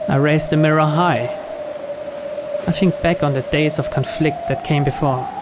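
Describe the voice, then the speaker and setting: A man's voice: a little high, fairly even intonation, moderate pace. A man reading a visual novel aloud as dramatic first-person narration. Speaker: video game player reading the story aloud. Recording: clear background noise.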